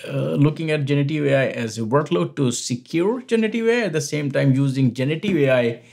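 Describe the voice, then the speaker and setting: Male host, video call, measured questions, raspy low texture. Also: expressive, a little mellow. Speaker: interview host. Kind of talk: remote video interview.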